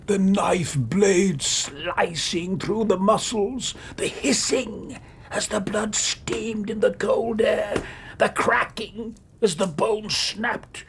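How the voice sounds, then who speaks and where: An actor in a radio drama playing a bitter, crippled old soldier, haunted and anguished, with a rough voice. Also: male voice, high voice, full character voice. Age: older. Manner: anguished, slow, emotionally fraught.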